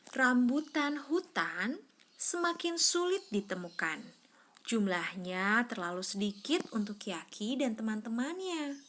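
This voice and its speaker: A woman's voice: on the high side, a little hiss or hum. A woman reading a children's story aloud expressively, drawing out words and prompting a young listener with questions. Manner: animated, prompting, drawn-out words for suspense.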